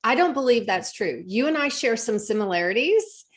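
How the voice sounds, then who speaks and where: A woman's voice: fairly high pitch, lively intonation, normal speed. Effusive woman podcast host. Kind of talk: interview podcast.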